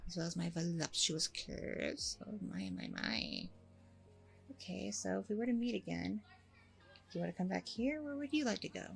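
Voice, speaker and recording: A woman's voice: mid-range voice, moderate pace, vocal fry, soft background music. A woman playing a friendly, flustered, flirty single mom on a first date in an ASMR roleplay, chatty, hesitant and gushing. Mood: flattered, delighted. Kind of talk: ASMR roleplay acting, one side of a dialogue.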